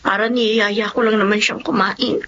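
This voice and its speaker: A woman acting a gentle, dutiful young daughter and elder sister in a Tagalog radio drama, plaintive and soft-hearted. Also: mid-range voice, steady tone, moderate pace. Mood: hurt, wistful. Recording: dull lo-fi radio audio, faint noise.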